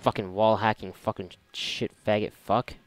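A man's voice: lowish voice, steady tone, normal speed, a little hiss or hum. A man giving casual, rambling gameplay commentary in a sarcastic, foul-mouthed way. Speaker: video game player commentating. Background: video game music and sound.

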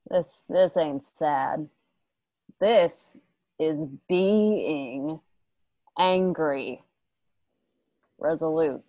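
Western radio drama: vengeful woman gunslinger played by an actress, low, terse, unhurried, very dry sound. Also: warm tone, no echo, full character voice. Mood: firm, angry. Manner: terse, deliberate, rustic Western phrasing. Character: vengeful, resolute young gunslinging woman rider named Mia. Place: home, remote video call.

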